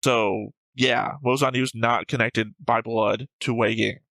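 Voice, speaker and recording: Guy w a creaky voice doing casual anime reaction talk, argues n explains stuff, kinda even tone, dry sound. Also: medium pitch, a little slow, no echo, poor audio. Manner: argumentative, explanatory. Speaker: host of an anime reaction show. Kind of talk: casual analytical discussion.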